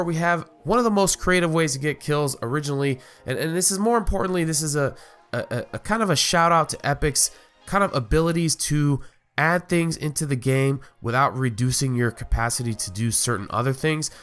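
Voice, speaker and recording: Fast-talking nasal man with a cold. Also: mid-range voice, fairly bright tone. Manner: rambling, filler-heavy, restarts. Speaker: gaming YouTuber host. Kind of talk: casual gaming commentary.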